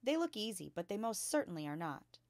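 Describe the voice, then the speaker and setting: A woman reading a storybook as a kind, gentle young female schoolteacher, encouraging and questioning. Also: mid-range voice, big pitch swings, fairly quick, no echo.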